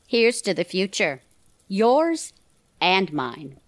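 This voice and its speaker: A woman playing a brisk, bossy, no-nonsense wife in an audio theater comedy, with a dry, blunt manner. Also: medium pitch, sing-song, background noise. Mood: frank, supportive.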